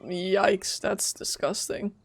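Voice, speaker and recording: A woman's voice: medium pitch, level tone, takes it slowly, vocal fry, a little hiss or hum. Chatty woman streaming with offhand commentary. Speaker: game streamer. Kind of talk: casual live gameplay commentary.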